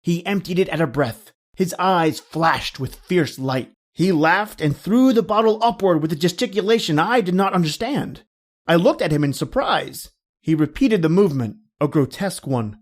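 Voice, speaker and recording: A man narrating a gothic horror story from a script in a measured, literary manner. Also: on the high side, expressive.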